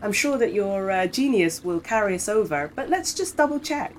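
A woman's voice: medium pitch, normal speed, a crowd in the background, natural voice. A woman playing an earnest, tactful ghost investigator in a tabletop game, speaking in a hesitant, conversational way. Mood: tactful, cautious. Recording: clear steady background noise.

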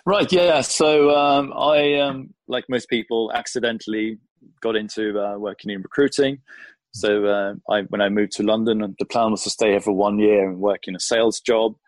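A man's voice: on the low side, steady tone, normal speed, dry recording, low-quality recording. A man from Sweden, a consultant giving friendly, thoughtful interview answers with frequent ums and restarts. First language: Swedish. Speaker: guest, employer brand consultant.

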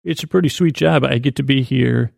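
An American man with a creaky voice hosting a sleep podcast, rambling in a halting, reassuring way as he speaks straight to the listener. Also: mid-range voice, darkish tone, no echo, clean studio sound. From Syracuse, New York, United States. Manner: meandering, halting, stumbling over words, reassuring. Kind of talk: rambling bedtime monologue, addressing the listener.

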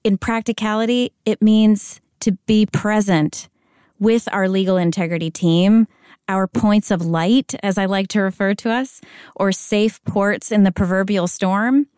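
A middle-aged woman with an American accent speaks as a company executive in a podcast interview. She talks in a measured, deliberate way and slows down to lean on key words. Her voice sits at a middle pitch, and the recording is dry and close. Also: steady tone, a little mellow, clear, resonant tone, no echo. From Spain. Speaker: corporate chief integrity officer.

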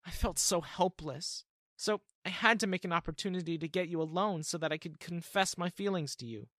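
A man's voice: high-pitched, a little fast, a bit bright, no room sound, studio quality, pitched above the performer's own voice. A man voicing a scheming, flirtatious middle-aged woman who talks in a wheedling, insistent way. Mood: coy.

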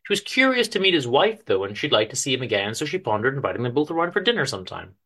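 A man's voice: medium pitch, very animated, racing, a bit bright. A man giving a dramatic reading of his own story, with wry, informal asides.

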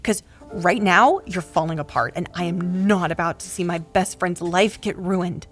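A woman's voice: on the low side, normal speed, faint music behind, lightly acted. A woman playing a blunt, protective best friend in an audio drama, with a direct, casual and insistent delivery. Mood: earnest, firm. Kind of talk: naturalistic audio drama acting.

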